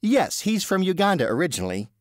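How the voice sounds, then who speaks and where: A man's voice: high voice, sing-song, fast, dry recording, clean studio sound, pitched above the performer's own voice. A man voicing a nervous, evasive man who fumbles and is overly polite while bluffing. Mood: flustered.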